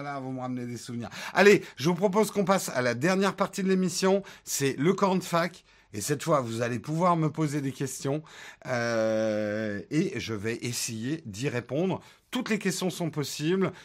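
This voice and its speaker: A man's voice: mid-range voice, lively intonation, normal speed. A man hosting a casual tech livestream, chatting loosely with many fillers and joking asides as he answers viewer questions; his first language is English, though he was born in France and grew up in the United States. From France, raised in the United States. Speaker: tech channel host answering live chat questions.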